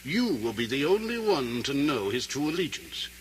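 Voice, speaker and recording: An actor playing a scheming medieval sheriff in a radio drama, pompous and formal in a measured delivery, on a noisy old recording. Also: male voice, mid-range voice, big pitch swings, a crowd in the background, close to an everyday voice. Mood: instructing. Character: scheming, haughty medieval sheriff serving the crown.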